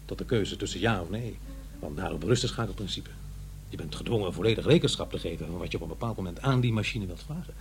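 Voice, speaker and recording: A man's voice: low-pitched, lively intonation, quick pace, a little hiss or hum. An actor playing an awkward, defensive divorced father in middle age in a radio play, with a hesitant delivery. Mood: earnest, hesitant. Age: middle-aged. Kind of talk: radio play acting, naturalistic dialogue and narration.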